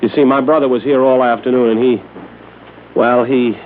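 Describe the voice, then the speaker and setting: A man's voice: mid-range voice, fairly even intonation, moderate pace, close to an everyday voice. An actor playing a shifty, nervous male crook who stammers and pleads in slangy speech in an old radio crime drama. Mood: pleading. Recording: muffled, slightly noisy old radio recording.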